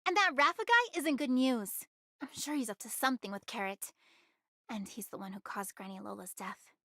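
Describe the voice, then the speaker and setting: Bright, bossy anime game heroine. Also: female voice, fairly high pitch, no room sound, clean studio sound. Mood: serious. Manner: commanding, brisk, teasing. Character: bossy, sly young woman, streamer employer.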